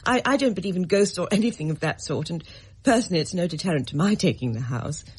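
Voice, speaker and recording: A woman's voice: fairly low pitch, lively intonation, fast talker, darkish tone, husky. An actress playing a composed, shrewd woman looking for a house, cool and insistent in her questions in a radio drama. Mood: probing.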